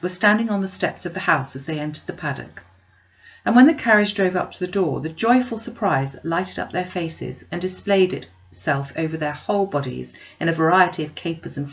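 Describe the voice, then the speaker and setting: A woman reading a classic novel aloud as scripted audiobook narration, in an expressive storytelling manner. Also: low-pitched, highs cut off. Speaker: audiobook reader.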